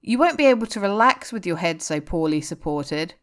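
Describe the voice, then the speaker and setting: A woman's voice: fairly low pitch, animated, fairly quick, clear, resonant tone, lightly acted. Woman voicing a blunt, teasing male rock singer. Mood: bossy, caring. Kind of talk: audiobook-style character dialogue.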